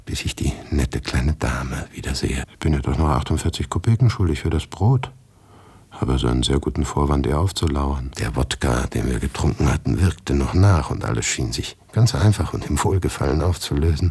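A man narrating a literary story in the first person for a radio play, acting it out with shifting pitch and volume. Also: darkish tone, breathy edge, no room sound.

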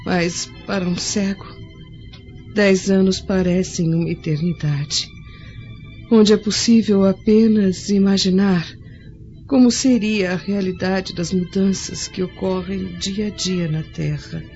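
A woman's voice: mid-range voice, steady tone, slow, warm tone. An actress playing a frail, blind, melancholy Roman noblewoman in a radio drama, wistful and earnest. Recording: dull lo-fi recording, music behind.

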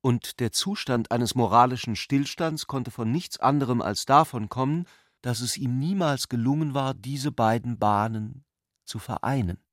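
A man reading literary prose as a scripted narrator, in a measured, deliberate way. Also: medium pitch, no room sound, clean studio sound.

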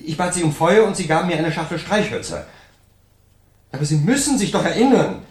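A man's voice: on the high side, sing-song, brisk, a little mellow, echoey room. An actor playing an indignant, pleading murder suspect in a 1970s German radio crime play, urgent and insistent in his protests.

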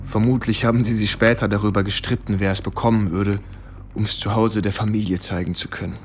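An actor playing a former soldier who recounts war in a sober, precise first-person radio drama narration. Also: male voice, fairly low pitch, steady tone, a little fast, slight background noise, lightly acted. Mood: sober, haunted. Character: male ex-soldier soberly recalling war horrors. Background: music.

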